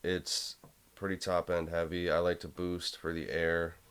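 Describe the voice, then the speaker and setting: A young man with a low voice casually walking through a home music production tutorial, rambling a bit with fillers. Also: flat delivery, measured pace, fairly bright tone, smooth. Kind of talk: casual screen-recorded tutorial.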